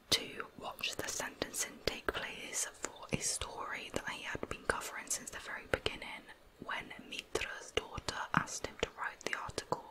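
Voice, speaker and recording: A woman narrating true crime in a soft, measured, breathy ASMR whisper. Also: poor audio.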